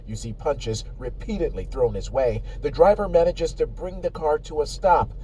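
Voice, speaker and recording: A man reporting a local news story in a formal, measured voice. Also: a little high, mellow, a little hiss or hum. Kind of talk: scripted news narration.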